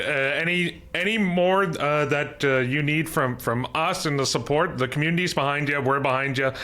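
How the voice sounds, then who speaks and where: An upbeat man in his thirties hosts a podcast. He teases his co-host playfully and fills gaps with "uh". His voice is bright, forceful and a little high. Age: 30s. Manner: upbeat, playful teasing, frequent "uh" fillers. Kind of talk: casual podcast hosting.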